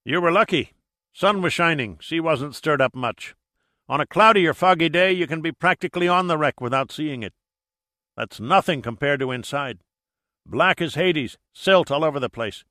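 Audiobook sea captain, played by a man: hearty, blunt seasoned diver, salty turns of phrase, lifted pitch, very dry sound. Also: expressive, normal speed, sharp tone, dry recording. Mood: grave warning. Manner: colloquial, salty, vivid storytelling. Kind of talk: audiobook character dialogue.

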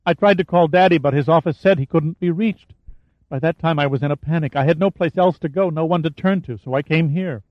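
Man doing a panicky young woman, raised high and breathless, dull dry recording. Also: a little fast, no room sound, close to an everyday voice. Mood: panicked. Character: frightened sobbing young woman.

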